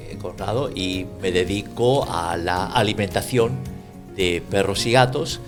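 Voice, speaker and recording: A man's voice: sits low, a little slow, soft background music. A man co-hosting a podcast, agreeable and giving brief replies. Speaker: podcast co-host. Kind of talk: casual podcast chat.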